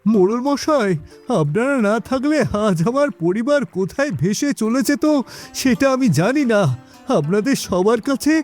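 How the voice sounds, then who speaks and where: A man voicing an earnest, protective village father in an animated moral story. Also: very high voice, normal speed, faint music behind. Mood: grateful.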